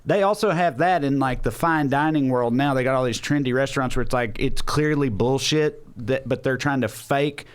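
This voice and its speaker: A man's voice: mid-range voice, moderate pace, few pauses, clear, resonant tone. A man with a Southern US accent chatting casually as a podcast host, riffing in a folksy, profane, comedic way.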